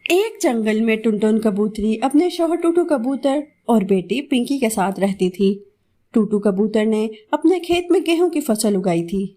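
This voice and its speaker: A woman's voice: a little high, warm tone. A woman giving scripted narration of a children's moral story.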